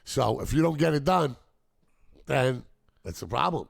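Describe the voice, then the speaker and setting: Gravelly middle-aged man hosting interview. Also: medium pitch, animated, no echo, clean studio sound. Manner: eager, quick affirmations, interjects often. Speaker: show host, interviewer. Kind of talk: casual interview chat.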